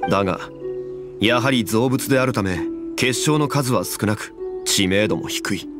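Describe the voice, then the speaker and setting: An actor playing a composed, dignified, knowledgeable man in an anime game, speaking formally and deliberately. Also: fairly low pitch, over loud music, lightly acted. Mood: measured, explanatory.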